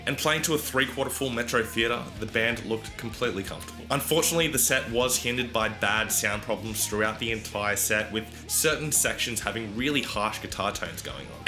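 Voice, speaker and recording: A man with a fairly low voice, a bit croaky from a cold, chats through a concert review with easy enthusiasm and leans hard on "really". Music plays clearly underneath him. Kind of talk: casual spoken concert review.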